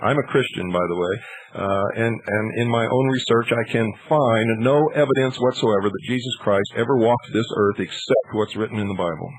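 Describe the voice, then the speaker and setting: A man's voice: on the low side, a little fast, slight background noise, low-quality recording. A man hosting a live radio call-in show, debating in a blunt, argumentative way and cutting in. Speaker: talk radio host.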